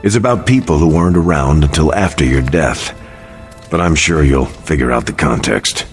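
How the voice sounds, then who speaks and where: Very deep, earnest soldier hero. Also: male voice, soft background music. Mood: friendly. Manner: earnest, measured, declarative. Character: earnest veteran soldier superhero man, thoughtful, upright leader. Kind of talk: video game dialogue.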